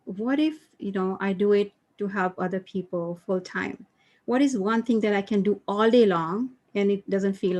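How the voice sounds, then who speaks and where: Woman from India, guest on an interview, middle pitch, loose and rambling delivery. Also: a little mellow. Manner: rambling with many fillers and restarts. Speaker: guest author and mindfulness coach. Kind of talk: casual interview storytelling.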